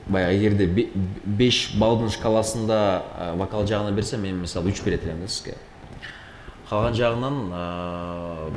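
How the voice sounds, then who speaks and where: A man's voice: low voice, a little mellow, reverberant, slight background noise. A man from Kyrgyzstan giving spoken feedback as a talent show judge. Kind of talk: spoken critique.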